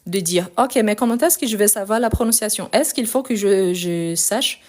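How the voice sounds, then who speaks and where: Woman, live French lesson host, relaxed and talky, often restarts mid-sentence. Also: medium pitch, normal speed. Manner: chatty, many fillers and restarts. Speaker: French teacher. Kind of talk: live online lesson, answering comments. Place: in France.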